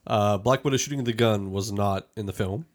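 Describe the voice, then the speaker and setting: A man's voice: on the low side, a little fast. A man hosting a podcast in a casual, rambling, informal group chat about a film. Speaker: podcast host.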